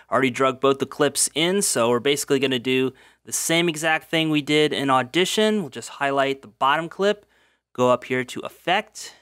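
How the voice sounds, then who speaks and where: Casting: male tutorial host, mid-pitched, informal step-by-step narration, close dry mic. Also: fairly bright tone, dry recording. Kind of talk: casual instructional voice-over.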